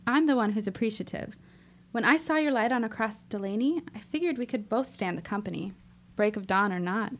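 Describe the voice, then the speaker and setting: Earnest, high-voiced young woman. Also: expressive, a little fast. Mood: warm, grateful. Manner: earnest, thoughtful. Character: earnest, determined young woman bound for university, 1917. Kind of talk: period audio drama acting.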